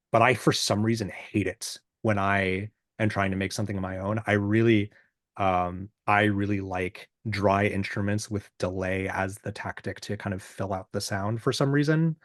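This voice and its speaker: A man with a low, booming voice speaks as a musician guest in a relaxed interview. His delivery is informal and halting, modest about himself as he thinks things through. The sound is dry and close. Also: no echo. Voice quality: deep, booming voice. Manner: halting, self-deprecating, informal. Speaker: podcast guest, musician and self-producer. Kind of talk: casual, reflective interview chat.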